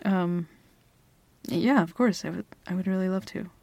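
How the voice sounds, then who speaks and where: A woman's voice: fairly low pitch, normal speed, a little mellow, dry recording. A young woman playing a flustered girl smitten with her best friend in an intimate ASMR roleplay, hesitant, stammering and giggly. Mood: shy, flustered. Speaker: audio roleplay performer. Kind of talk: ASMR girlfriend roleplay, intimate one-sided dialogue.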